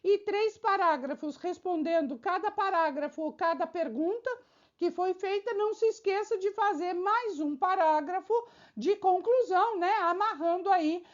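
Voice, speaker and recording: A woman's voice: very high-pitched, normal speed, lo-fi sound. A woman teacher giving an exam-prep video lesson, explaining step by step with examples and frequent check-in questions to the viewer.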